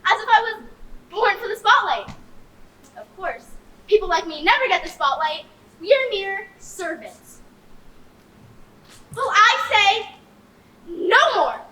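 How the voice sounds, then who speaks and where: A woman's voice: very high voice, very animated, slow, pauses often, bright, forceful tone, exaggerated voice. A young woman on stage playing a jealous, attention-hungry card dealer with theatrical, boastful delivery, in a school comedy. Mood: boastful, self-important. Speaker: junior thespian performer. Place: echoey stage, recorded from a distance. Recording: echoey stage, distant mic, faint noise.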